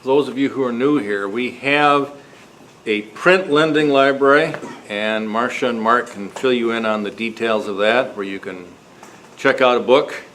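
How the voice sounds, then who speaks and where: A man's voice: mid-range voice, a little slow, fairly bright tone. A man hosting an informal club meeting, making announcements to a group in a casual, hesitant way. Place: meeting room, before a group. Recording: noisy live meeting room recording.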